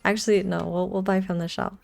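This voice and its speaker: A woman casually chatting on a game livestream, playful and excitable, often repeating her phrases. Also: medium pitch, fairly quick, creaky, no echo, faint music behind. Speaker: game streamer. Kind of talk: casual livestream chat while playing a gacha game.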